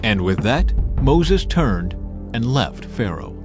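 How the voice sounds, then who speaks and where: A man's voice: medium pitch, very animated, takes it slowly, music in the background. A man narrating a dramatized scripture audiobook in a solemn, emphatic storytelling manner.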